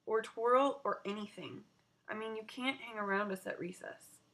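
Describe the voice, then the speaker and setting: A woman's voice: medium pitch, normal speed, fairly bright tone, close to an everyday voice. A woman reading the part of a blunt, dismissive young schoolgirl in a children's story. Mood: awkward, dismissive. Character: blunt young schoolgirl dropping her friend.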